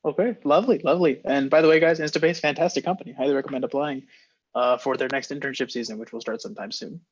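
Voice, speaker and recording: A man hosts an interview in a rapid, upbeat way, peppering his questions with fillers. His voice is husky. The sound is dry and dull, like lo-fi call audio. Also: a little high, dry recording. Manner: brisk and upbeat, many fillers. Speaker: host interviewer. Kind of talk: casual interview hosting.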